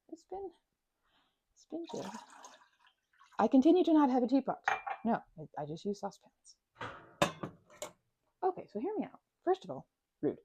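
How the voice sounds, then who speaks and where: A woman chatting casually to the listener as a best friend in an ASMR roleplay, bubbly and expressive, rambling and changing course mid-thought. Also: mid-range voice, gravelly, slight background noise.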